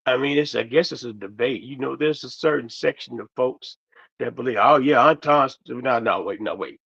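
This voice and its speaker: A man who is losing his voice hosts a loose commentary monologue, his gravelly, worn tone drifting from one half-finished thought to the next. The sound is dull and lo-fi, like a home setup. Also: medium pitch, moderate pace, fairly bright tone. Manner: rambling, meandering, trails off mid-sentence. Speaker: commentary host.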